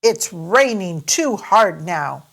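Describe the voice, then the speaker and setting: A woman's voice: fairly low pitch, animated, slow pace, vocal fry, a bit bright, full character voice. A woman voicing a practical, reassuring father pig in a children's storybook, speaking with kindly emphasis.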